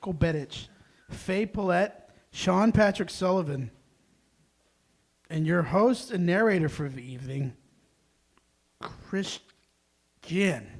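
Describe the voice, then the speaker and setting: A man hosting a live stage event, announcing informally with halting, self-interrupting delivery. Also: high voice, lively intonation. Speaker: host of a live table read.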